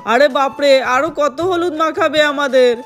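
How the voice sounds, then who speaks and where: A woman's voice: high voice, unhurried, faint music behind. A woman voicing a reluctant young groom who grumbles and protests in a doll play. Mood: complaining. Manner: whining, protesting.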